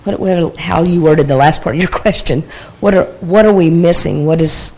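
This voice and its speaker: A church discussion group member sharing a reflection in a halting, thoughtful way, often restarting sentences. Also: medium pitch, warm tone, low-quality recording.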